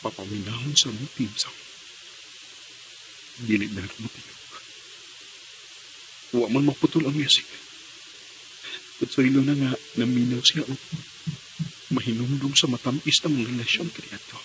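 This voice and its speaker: Over faint hiss, a brooding young man played by an actor speaks in a medium-pitched voice with a Visayan Filipino accent. He is devoted and intense, in a dramatic radio drama style. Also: moderate pace, pauses often, sharp tone, natural voice, poor audio. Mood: brooding, determined.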